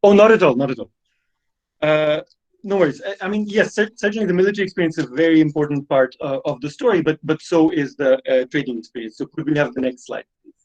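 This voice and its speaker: A man's voice: a little high, fast talker, darkish tone, low-quality recording. A man who grew up speaking Russian gives an online academic lecture, hesitant, with many fillers and repeated words. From Belarus. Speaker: guest lecturer.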